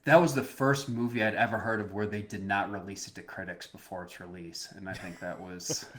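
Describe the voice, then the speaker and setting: A man's voice: lowish voice, fairly even intonation, fairly quick. A man co-hosting a casual podcast who laughs easily and often stumbles and restarts his sentences. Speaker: podcast co-host.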